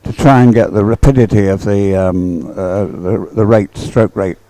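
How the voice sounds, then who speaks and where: A man giving live race commentary, explaining things in halting phrases. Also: lowish voice, mellow, dry recording, low-quality recording.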